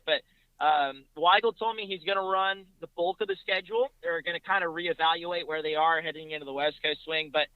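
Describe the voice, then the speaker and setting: A man's voice: fairly high pitch, clean tone, dry recording. Man, podcast guest, casual racing talk, detailed and conversational, dry close sound, slightly dull audio.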